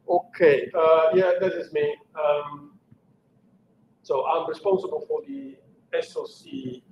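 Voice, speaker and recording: An Italian-accented middle-aged man talks through an engineering presentation, stopping often to rephrase with fillers and restarts. His voice sits at an ordinary pitch. The recording is a little dull, with a faint hiss behind it. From Italy. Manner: unscripted, halting with many fillers and restarts. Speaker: engineering manager giving a technical talk. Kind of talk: online technical presentation.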